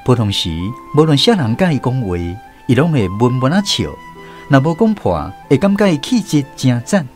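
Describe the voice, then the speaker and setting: A man's voice: medium pitch, very animated, normal speed, soft background music. A man with a Taiwanese accent narrating a scripted first-person story for a radio drama.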